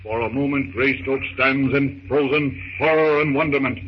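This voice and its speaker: A man's voice: mid-range voice, steady tone, moderate pace. A man narrating a scripted old radio drama in a dramatic, suspenseful, declamatory manner, on a muffled, very noisy recording.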